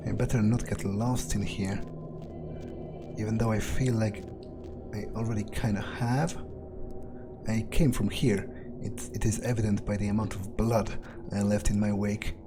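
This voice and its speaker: A man role-playing a wary, foul-mouthed vampire in a game, thinking aloud in a hesitant, muttering monologue with pauses and swearing. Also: lowish voice, little pitch movement, music in the background, close to an everyday voice. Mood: wary, uncertain. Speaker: gameplay video creator role-playing his character. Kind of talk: in-character improvised gameplay monologue, thinking aloud.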